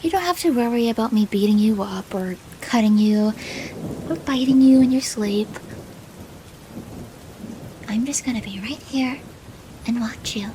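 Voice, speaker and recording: Woman acting a soft, slow-talking yandere girlfriend. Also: fairly high pitch, a little hiss or hum, lightly acted. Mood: pouty, soothing. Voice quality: soft, breathy with whispered phrases. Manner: slow, intimate, teasing. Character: doting, possessive yandere girlfriend, teasing and menacing. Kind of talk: ASMR girlfriend roleplay.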